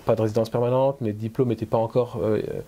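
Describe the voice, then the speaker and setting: A man's voice: fairly low pitch, level tone, fast, mellow. A middle-aged man from France with a French accent touched by Québécois, talking as an interview guest with many fillers and restarts. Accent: French, slightly Québécois-tinged. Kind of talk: conversational interview.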